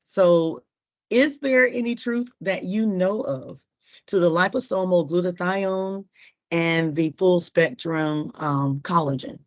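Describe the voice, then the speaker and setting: A hoarse woman, a workshop participant and singer, asking a question politely and hesitantly with many fillers. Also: fairly low pitch, takes it slowly. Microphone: video call. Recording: dull lo-fi video call audio.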